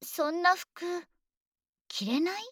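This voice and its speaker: A woman playing a doting, domineering dragon maid in an ASMR voice drama, speaking politely with gentle teasing, close to the microphone. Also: very high voice, expressive, slow pace, no room sound, exaggerated voice. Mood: sweet teasing. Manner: polite servile speech, gently teasing and coddling. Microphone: close microphone, dry.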